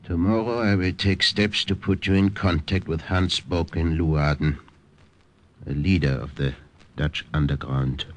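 An actor playing a hearty older Dutch uncle with an emphatic, exclamatory delivery in an old-time radio drama. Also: male voice, low-pitched, fairly even intonation, measured pace, slight background noise. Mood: earnest. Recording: vintage radio drama recording.

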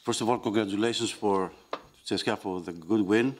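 A man's voice: on the low side, fairly quick, slight background noise. A middle-aged man, an assistant coach giving brief, matter-of-fact answers at a post-game press conference. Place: press room at a basketball arena. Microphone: table mic.

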